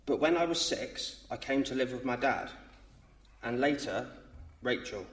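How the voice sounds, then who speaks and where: A man's voice: medium pitch, animated, measured pace, fairly bright tone, distant mic. A man in his thirties from England, nervously reading his baptism testimony aloud. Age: 30s. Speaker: baptism candidate. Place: church. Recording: roomy church sound from off mic.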